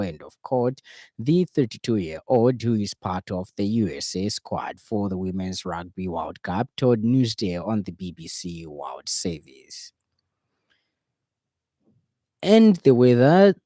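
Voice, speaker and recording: A man with a Zambian accent presents radio news from a studio. His voice sits fairly low and is warm and relaxed, with a dry, close sound. He reads his script formally, in measured phrases. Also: dry recording.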